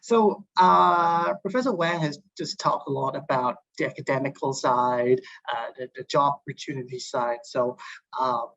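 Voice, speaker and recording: A man in his early twenties from Hong Kong gives an informal, hesitant student presentation on an online call, with frequent filled pauses. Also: medium pitch, a bit bright. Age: early 20s. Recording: dull lo-fi online call audio.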